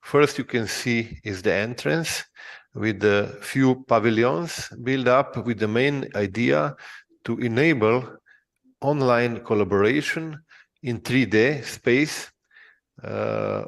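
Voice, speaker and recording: Slow, gravelly man. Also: medium pitch. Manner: slow, halting with fillers. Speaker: presenter. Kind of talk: recorded product walkthrough.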